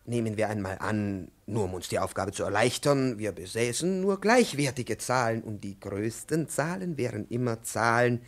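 An overpolite, pedantic male professor in a vintage radio play. His delivery is highly animated and theatrical, leaping up and down in pitch as his fussy patience strains. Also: normal speed, hardly any pauses. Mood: lecturing. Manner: pedantic, effusively polite, theatrically emphatic. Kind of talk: 1950s German-language radio play acting.

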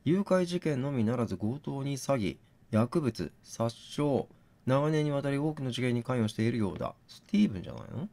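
A man doing gaming commentary, thinking out loud and reasoning step by step, mixed with reading text aloud. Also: mid-range voice, animated, normal speed. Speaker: game streamer reading on-screen text aloud.

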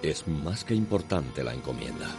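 A man narrating a radio soap in a solemn, measured, poetic manner. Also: a bass voice, sing-song, vocal fry. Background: music.

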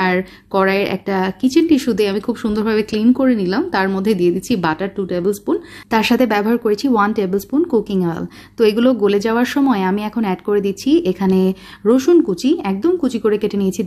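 A woman with a Bangladeshi Bengali accent narrates a cooking recipe at a brisk, rapid clip. Her voice sits at a middling pitch. She talks in a chatty way, walking through each step and naming every measurement. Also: darkish tone. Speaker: cooking channel host.

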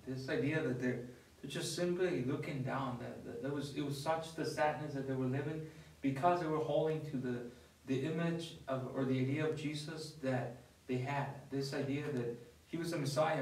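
A man's voice: fairly high pitch, moderate pace. A young man, a priest, talks casually about scripture, restarting his sentences and telling stories with animation. He sits at a dining table, picked up by a camera from a few feet away. The room adds an echo. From not from the US. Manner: animated storyteller, many restarts and fillers. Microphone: far camera. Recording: echoey room from far off.

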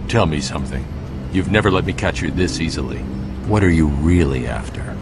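Super deep grim vigilante, short flat lines, big game score. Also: male voice, fairly quick, over loud music. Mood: suspicious. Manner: low, terse, gravelly. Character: grim stoic male masked vigilante.

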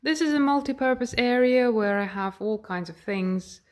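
A woman with a British accent, a portrait artist, calmly explaining her home studio as she films it on a phone. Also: on the high side, moderate pace. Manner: calm, explanatory, pointing things out. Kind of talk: guided studio tour narration. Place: home art studio, indoors.

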